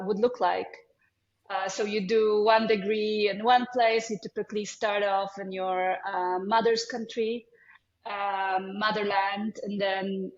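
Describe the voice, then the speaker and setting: A woman in her forties from Poland with a Polish accent, a guest in an interview, talking casually and warmly with many ums and restarts. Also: medium pitch. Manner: hesitant, many ums, friendly. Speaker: guest, foundation CEO. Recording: echoey, dull lo-fi.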